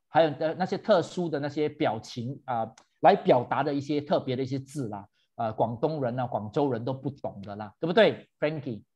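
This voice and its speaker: A man's voice: mid-range voice, a little hiss or hum. A man hosting a virtual tour for seniors, chatting animatedly and switching between English and Mandarin. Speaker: host.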